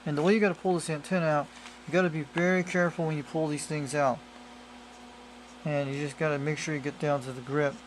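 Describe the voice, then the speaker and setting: A man with a slightly high voice talks through a hands-on gadget demo. He explains casually and rambles, often circling back over the same point. It is an amateur camera recording with faint noise behind him. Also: little pitch movement, fast talker. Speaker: radio hobbyist presenter. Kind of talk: casual hands-on product demonstration. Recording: noisy amateur camera audio.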